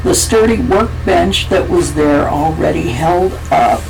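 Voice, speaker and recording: Slow-reading woman over background noise. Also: low voice, lively intonation, creaky, darkish tone, low-quality recording. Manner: plain, self-deprecating, stumbles over words. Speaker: author reading her own story. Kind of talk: unhurried story reading, then casual sign-off.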